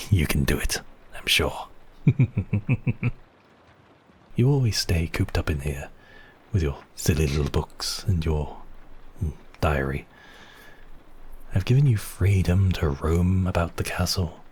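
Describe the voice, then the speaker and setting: Man as a deep, slow, silky vampire count. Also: lively intonation, a little mellow, husky, heavily acted. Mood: sardonic. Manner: silky, teasing, drawn-out phrasing with sighs and pauses. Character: suave, obsessive male vampire count, mocking and possessive. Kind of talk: intimate ASMR roleplay acting.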